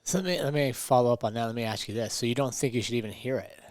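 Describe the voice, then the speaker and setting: A man's voice: mid-range voice, rapid-fire, a little mellow, no room sound. A man co-hosting a motivational podcast, talking emphatically and often checking in with rhetorical questions. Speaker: podcast co-host.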